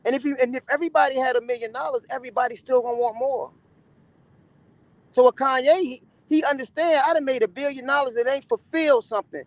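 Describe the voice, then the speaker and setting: A man chatting casually in an earnest tone, often stumbling and repeating words as he talks about spirit and soul. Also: extremely high pitch. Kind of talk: casual chat.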